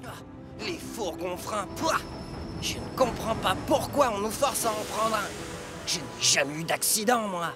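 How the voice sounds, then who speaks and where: Snooty vain cartoon steam engine, super high pitched, music playing under it. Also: male voice, animated, a little slow. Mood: grumpy, dismissive. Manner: pompous, haughty. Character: vain, boastful, impatient male steam locomotive. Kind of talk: dubbed children's cartoon acting. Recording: music behind the voice.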